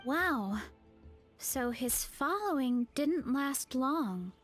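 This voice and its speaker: High-voiced young orchard woman. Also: lively intonation, very slow, faint music behind, exaggerated voice. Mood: thoughtful. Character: friendly young woman whose family owns an orchard.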